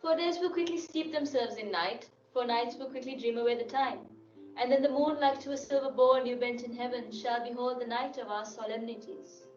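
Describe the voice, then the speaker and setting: An actress playing a poised, regal bride-to-be in a Shakespearean audio drama, speaking verse softly and lyrically. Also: female voice, on the high side, fairly even intonation, brisk, faint music behind, lightly acted. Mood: serene anticipation. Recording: dull, echoey playback from a distance.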